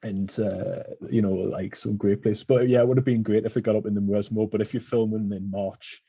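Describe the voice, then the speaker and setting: Rambling Geordie man, warm voice. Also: on the low side, flat delivery, brisk, slightly rough, no echo, highs cut off. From Newcastle, England. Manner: rambling storyteller with many fillers. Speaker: podcast host. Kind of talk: casual chat.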